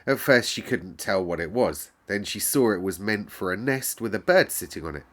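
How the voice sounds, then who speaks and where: A man reading a classic children's novel aloud in a calm, measured storyteller's way. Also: fairly low pitch.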